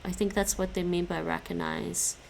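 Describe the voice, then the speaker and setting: A woman's voice: fairly low pitch, flat delivery, moderate pace, creaky, a little mellow, a little hiss or hum, rough recording. A young woman chatting casually with stream viewers while she studies languages. She stops and restarts often and laughs a lot. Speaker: live streamer studying languages.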